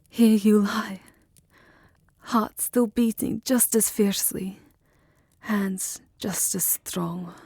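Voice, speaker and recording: A woman voicing a lovestruck, lonely vampire countess in an intimate ASMR roleplay, breathy, whispery and theatrical. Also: lowish voice, a little mellow, no room sound, clean studio sound, full character voice.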